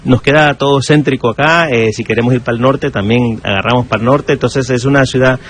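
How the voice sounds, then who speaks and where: A man's voice: mid-range voice, fairly even intonation, a little fast, slight background noise. A man from Honduras, a guest in a radio interview, friendly and agreeable with many fillers. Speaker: guest, local radio director.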